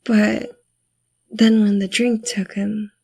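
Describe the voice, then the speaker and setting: A woman playing a guarded, wry teenage girl from the streets in an audio drama, with a husky, breathy voice. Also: mid-range voice, little pitch movement, takes it slowly, mellow, exaggerated voice. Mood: somber, confiding.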